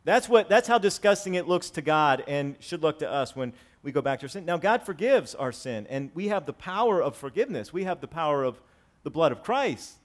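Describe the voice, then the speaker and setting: Male pastor, 40s, slightly high voice; sermon delivery alternating emphatic and conversational. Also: lively intonation, a little fast. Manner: swings from loud preaching to soft asides, conversational. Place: church sanctuary with congregation.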